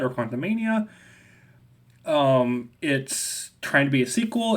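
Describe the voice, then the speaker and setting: A young man with a slight cold rambles through a casual video review rant, full of fillers and restarts, as a movie reviewer and streamer. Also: medium pitch.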